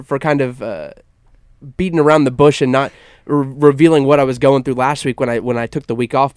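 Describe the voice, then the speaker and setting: A man's voice: mid-range voice, a little mellow, clear, resonant tone, dry recording. A young man runs a college sports talk radio show, his nose a little stuffed up. He keeps an upbeat broadcast patter and often trips over his words and restarts. The sound is dry and close, with no room around it. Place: campus radio studio.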